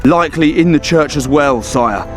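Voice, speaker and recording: A man as urgent high-voiced soldier. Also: moderate pace, soft background music, natural voice. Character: dutiful, urgent soldier reporting to his lord, a man. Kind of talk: video game acting.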